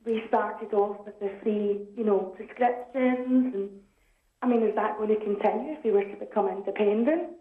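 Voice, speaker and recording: A woman's voice: medium pitch, normal speed. A woman from Scotland, calling a radio phone-in and talking in a hesitant, conversational way. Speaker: caller. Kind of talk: phone-in call. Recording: tinny echoey phone line.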